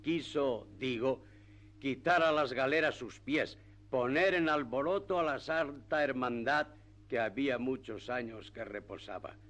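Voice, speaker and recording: An actor playing a sly, learned village priest in a radio play, speaking with measured, rhetorical emphasis. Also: male voice, medium pitch, fairly bright tone, close to an everyday voice. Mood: righteous indignation. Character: sly, learned male village priest, feigning righteous indignation. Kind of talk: classic radio theatre acting.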